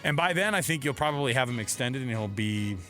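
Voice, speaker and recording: An American man co-hosting a sports talk show, casual and slangy, joking and excitable as he gives his commentary. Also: mid-range voice, fast, faint music behind. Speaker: sports radio co-host. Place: radio studio.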